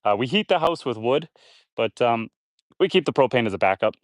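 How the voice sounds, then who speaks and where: A man on a live stream from his homestead talks in a relaxed, chatty way as he works, stopping to read out viewer comments. He sounds a little nasal and congested. The sound is dry, with no room echo. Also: mid-range voice, a little fast. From Canada. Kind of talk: casual live-stream chat while building. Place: outdoors on a homestead.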